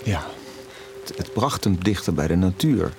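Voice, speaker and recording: Middle-aged father, confessional and tender, audio drama; lowish pitch, expressive swings, faint music underneath. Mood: gentle, reflective. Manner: halting, repeats words when emotional. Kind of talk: audio drama acting, intimate dialogue.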